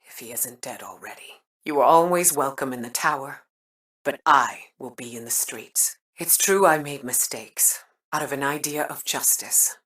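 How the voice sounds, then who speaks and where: An actress playing a stern, principled military commander in a video game, speaking formally and deliberately with a measured, weary air. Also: female voice, rumbling low, a bit bright, recorded from a distance. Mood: firm, pained. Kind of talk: dramatic video game voice acting.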